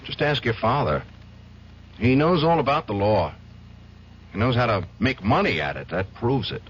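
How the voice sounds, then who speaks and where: An actor playing a weary, guilt-ridden male attorney who talks in a hesitant, halting way in a 1970s radio drama. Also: medium pitch, very animated, a little hiss or hum, close to an everyday voice. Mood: troubled. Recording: dull old radio recording.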